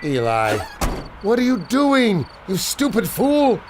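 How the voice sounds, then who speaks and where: A man's voice: high-pitched, wildly swooping pitch, slow, background noise, full character voice. A man playing a cowardly, condescending older turncoat in a radio adventure, exasperated and pleading in his way of talking. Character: Herman, whiny, cowardly older turncoat pal. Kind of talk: old-time radio adventure acting.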